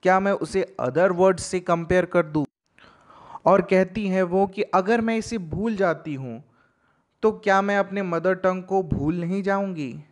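A man, teaching a poem line by line as a voice-over. He reads each verse line very slowly, then explains it in a mix of Hindi and English. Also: high-pitched. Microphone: voice-over over text slides.

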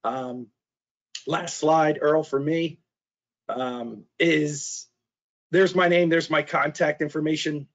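A middle-aged man giving a webinar presentation, hoarse and losing his voice, halting with fillers and restarts and clearing his throat. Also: a little high, fairly even intonation. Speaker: trade specialist presenter. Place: small office. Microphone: video call. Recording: dull lo-fi video call audio.

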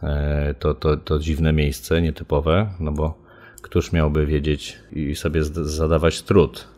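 A man with a very low voice talks through a criminal case as an interviewed commentator. His speech is unscripted and hesitant, often broken by filled pauses as he explains. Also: completely flat delivery, darkish tone.

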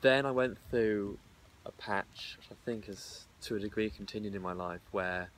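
A man's voice: lowish voice, moderate pace, a little hiss or hum. Young man, stammering, reflective, recorded outdoors by a far camera. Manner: thoughtful, stammers and repeats words. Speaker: discussion participant. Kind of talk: casual group discussion. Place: outdoors at a garden table.

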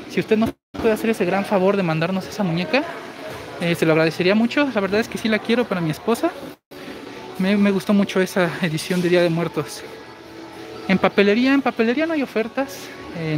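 A man in his forties with a high, girlish-sounding voice, chatting through a live store walkthrough and reading viewer comments. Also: a little fast, over music. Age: 40s. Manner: chatty, explains deals while walking. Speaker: shopping deals streamer. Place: large indoor supermarket. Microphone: phone held while walking. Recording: noisy store ambience.

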